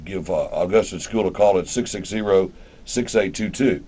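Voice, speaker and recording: A man's voice: deep voice, moderate pace, mellow, husky. A man hosting a casual live radio interview in an informal, rambling, conversational way. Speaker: radio show host.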